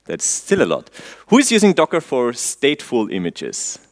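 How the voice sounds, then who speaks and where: A man with an Austrian accent delivers a technical talk from a conference stage at a middling pitch. He keeps the audience involved with quick questions, makes dry asides and leans on "um" between points. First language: German. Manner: quizzes the audience, frequent "um" fillers, relaxed dry humor. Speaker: conference speaker.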